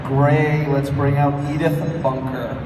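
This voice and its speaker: A man's voice: mid-range voice, level tone, slow, recorded from a distance. A man hosting and emceeing a live comedy panel, announcing to the room. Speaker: host. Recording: very noisy echoey convention hall.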